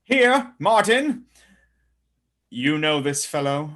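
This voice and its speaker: A man playing a commanding young gentleman. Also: on the high side, sing-song, takes it slowly, exaggerated voice. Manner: theatrical, declamatory. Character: young gentleman arresting a traitor, male, commanding. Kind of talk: stage-play reading.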